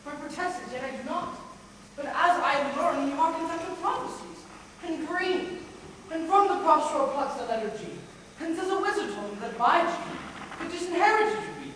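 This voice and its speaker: A woman's voice: very high voice, expressive, moderate pace, a bit bright. A young woman on stage playing a wronged, resigned nobleman under arrest, with formal, projected Shakespearean delivery. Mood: aggrieved. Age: young adult. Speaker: high school stage actor. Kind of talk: theatrical Shakespearean stage acting. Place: high school stage performance, recorded from afar. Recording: echoey theatre hall, distant microphone, faint noise.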